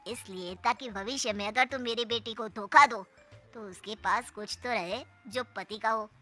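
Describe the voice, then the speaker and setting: A woman's voice: a little high, normal speed, sharp tone, soft background music. A woman voicing a shrewd, smug, threatening father of a bride in a Hindi cartoon story. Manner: taunting, smug.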